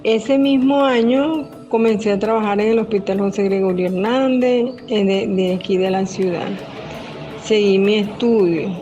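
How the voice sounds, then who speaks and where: An older woman tells her own story as a voice-over, calm and measured, from the Venezuelan Amazon. Her pitch sits in a plain middle register. The recording is a little dull and lo-fi, with music clearly playing beneath her. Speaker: retired nurse. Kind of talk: scripted first-person narration.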